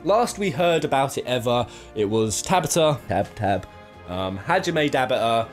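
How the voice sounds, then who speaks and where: A man's voice: medium pitch, lively intonation, moderate pace, soft background music. A British man giving casual, jokey top-ten video game commentary, rambling and thinking aloud as he goes. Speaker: video game countdown host.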